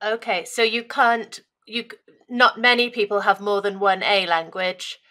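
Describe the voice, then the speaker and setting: Host in her thirties, bright forceful voice, brief prompts, headset on a video call. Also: medium pitch, little pitch movement, moderate pace. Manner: short prompts and brief acknowledgements. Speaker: interview host. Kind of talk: casual interview over video call. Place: small room indoors.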